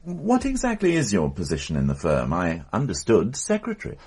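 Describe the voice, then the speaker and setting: Give me a measured, probing detective inspector with a deep, slightly husky voice. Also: male voice, wildly swooping pitch, a little mellow, full character voice. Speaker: police inspector. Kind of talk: radio drama acting.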